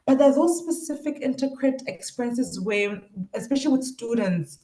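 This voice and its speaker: A woman's voice: fairly high pitch, expressive, moderate pace, warm tone. A South African woman lecturer giving a reflective academic talk with personal anecdotes. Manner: reflective, acts out quoted remarks. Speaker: university lecturer presenting research. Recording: roomy, echoing sound.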